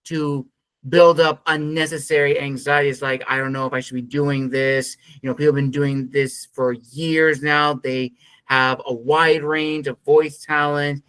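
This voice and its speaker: Guy hosting a podcast, bright clear voice, kinda rambly earnest questions, dry mic. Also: a little high, flat delivery, a little slow, no echo. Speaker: podcast host interviewing a narrator. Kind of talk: conversational interview hosting.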